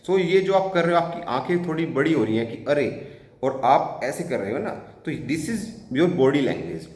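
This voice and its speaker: A man teaching a spoken English lesson in Hindi, chatty, explaining through anecdotes and checking questions. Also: fairly high pitch. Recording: echoey room.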